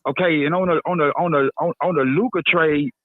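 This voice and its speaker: Excitable male caller on a tinny phone line. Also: fairly high pitch, a little fast, no echo. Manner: excitable, repeats words and stammers. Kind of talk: casual chat.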